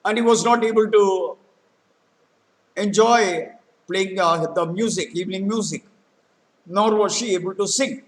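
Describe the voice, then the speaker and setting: A man telling a folktale in a halting, explanatory way, with frequent hesitant pauses. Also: sits high, low-quality recording. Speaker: storyteller. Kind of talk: spoken folktale retelling with vocabulary explanations.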